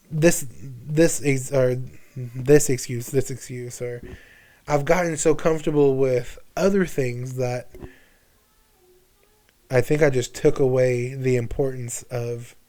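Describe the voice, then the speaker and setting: A man's voice: mid-range voice, dark tone. A man in his twenties talking candidly and casually as a podcast guest, using slang and swearing freely. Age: 20s.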